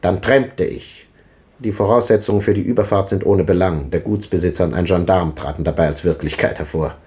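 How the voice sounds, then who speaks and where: A man's voice: sits low, fairly quick, dark tone, lightly acted. An actor playing a restless, brooding old man in a German radio drama of the 1950s, musing in clipped fragments. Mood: wry, recollecting. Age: older. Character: restless, brooding old man recalling rough odd jobs.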